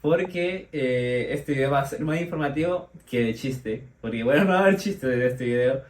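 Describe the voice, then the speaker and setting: A man's voice: mid-range voice, moderate pace. A man in his twenties from Ecuador, hosting a podcast and giving an informative explainer with frequent hesitations and fillers. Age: 20s. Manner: hesitant, many fillers and restarts. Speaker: podcast host.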